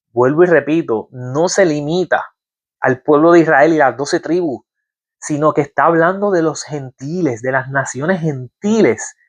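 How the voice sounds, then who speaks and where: A man giving a Bible-teaching sermon, reading verses aloud and asking his listeners rhetorical questions. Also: fairly high pitch. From Puerto Rico. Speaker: preacher.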